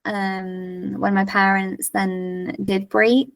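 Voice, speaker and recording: Woman, podcast guest, coach and yoga teacher, candid and frank, gravelly fry, mid pitch. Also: little pitch movement, extremely slow, far from the mic. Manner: candid, frank, laughs easily. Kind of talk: casual podcast conversation.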